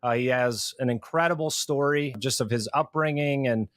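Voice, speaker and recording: A man hosting a podcast interview, introducing guests in a measured, deliberate way with "uh" fillers. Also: mid-range voice, a bit bright, no room sound, studio quality. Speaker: podcast host.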